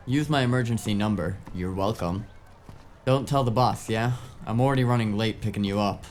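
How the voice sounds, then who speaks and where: A man's voice: on the low side, moderate pace, darkish tone, slight background noise, close to an everyday voice. A man playing a wisecracking, streetwise hacker sidekick in a cyberpunk audio drama, talking in a casual, slangy, flippant way. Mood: breezy.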